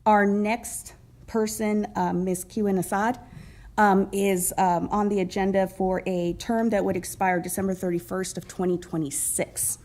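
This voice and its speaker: A woman's voice: medium pitch, steady tone, unhurried, darkish tone. Friendly woman presenting at a council meeting. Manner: friendly, with frequent ums. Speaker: council member introducing appointees. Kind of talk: formal meeting introductions. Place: council chamber.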